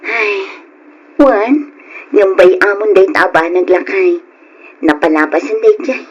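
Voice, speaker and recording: A hardworking Ilocano wife in a radio drama. She speaks from the heart with a husky, mid-pitched voice, sentimental yet determined. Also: slow, muffled recording. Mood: tearful, pitying. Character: hardworking married woman selling live online, sentimental, determined.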